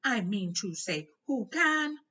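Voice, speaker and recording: A woman's voice: medium pitch, sing-song, slow, bright, forceful tone, recorded from a distance, pitched above the performer's own voice, exaggerated voice. A woman voicing an anxious, stammering middle-aged male minister in a storybook reading, pleading and repeating himself. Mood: alarmed, flustered. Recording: noisy, dull home recording.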